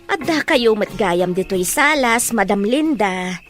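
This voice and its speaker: A woman's voice: mid-range voice, animated, measured pace, soft background music, natural voice. A woman with an Ilocano accent playing a deferential but inquisitive housemaid in a radio drama. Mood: chiding, caring.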